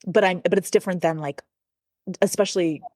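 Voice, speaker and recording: Low-pitched, chatty woman in her forties. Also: steady tone, a little fast, a little mellow, no echo, clean studio sound. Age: 40s. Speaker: podcast guest. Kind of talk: casual conversational interview.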